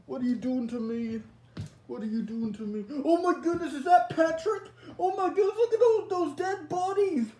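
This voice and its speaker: A man voicing a panicked cartoon sea creature in a fan-made horror parody, crying out in frantic high-pitched screams. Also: expressive, unhurried, long pauses, dark tone, roomy sound. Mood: terrified. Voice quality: shrill cries.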